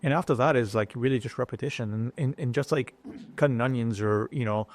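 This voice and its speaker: A middle-aged man from Japan with a Japanese accent, a chef talking as a guest in an interview, pausing often and restarting his sentences. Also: medium pitch. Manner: halting, many fillers and restarts. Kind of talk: casual interview talk.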